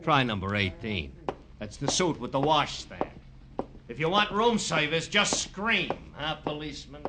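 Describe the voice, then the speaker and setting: Swooping, sardonic flophouse desk clerk. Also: male voice, a little high, animated, unhurried, background noise. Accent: American. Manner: sarcastic, mock-formal, streetwise. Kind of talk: 1950s radio crime drama acting.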